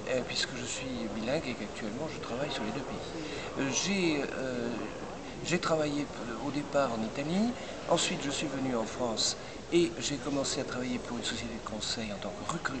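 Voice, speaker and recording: An older man heading a recruitment firm, answering interview questions in a measured way with many hesitations and restarts. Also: medium pitch, over a noisy crowd, rough recording. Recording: loud background noise.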